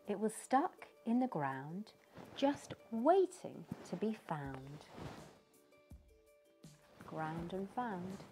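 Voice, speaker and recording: Slow, lively British woman reading aloud. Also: mid-range voice. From United Kingdom. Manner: slow, sing-song storytelling with questions to young listeners. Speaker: children's book reader. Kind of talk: reading a picture book aloud to children. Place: living room by a fireplace.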